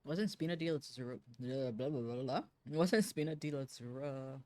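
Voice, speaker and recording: A woman's voice: very deep voice, normal speed, warm tone, dry recording. A woman streamer casually chatting through a video game playthrough and reading dialogue aloud, with offhand, playful asides.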